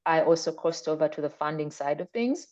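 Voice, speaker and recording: A woman's voice: low-pitched, fairly quick, darkish tone, muffled recording. A woman from Uganda introducing herself earnestly on a webinar panel. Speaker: panelist, funder. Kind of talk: self-introduction.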